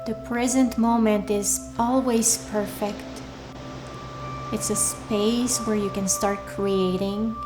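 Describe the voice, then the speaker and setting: A woman leading a guided meditation in a soothing, deliberate way, leaving pauses between short phrases. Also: mid-range voice, rough recording. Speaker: meditation guide, workshop leader. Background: soft background music.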